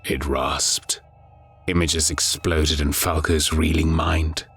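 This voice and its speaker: A man narrating a scripted horror audiobook in a grave, measured storytelling manner. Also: extremely low pitch, little pitch movement, a bit bright, breathy edge, faint music behind.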